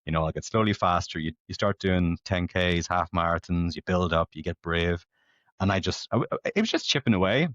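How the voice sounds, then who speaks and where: A man's voice: low voice, animated, brisk, fairly bright tone, no echo. A man in his late thirties with a Northern Irish accent, chatting casually and ramblingly as a podcast guest. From Belfast, Northern Ireland. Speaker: podcast guest, amateur competitive runner. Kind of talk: casual conversational storytelling. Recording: dull lo-fi podcast audio.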